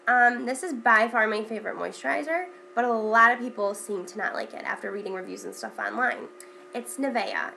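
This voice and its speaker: A woman's voice: fairly high pitch, vocal fry, bright, forceful tone, slight background noise. Congested young woman chatting about makeup. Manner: chatty, with many ums and repeated words. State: congested from a cold. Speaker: beauty vlogger. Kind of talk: casual makeup tutorial chat.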